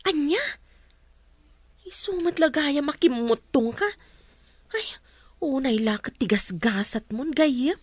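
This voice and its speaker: A woman's voice: high voice, animated, slow, long pauses, no echo. A woman playing a concerned friend who gives advice in an Ilocano radio drama.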